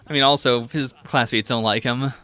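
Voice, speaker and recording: Bright-voiced man chatting casually, music behind. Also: mid-range voice, steady tone, moderate pace. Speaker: podcast host. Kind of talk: casual anime discussion.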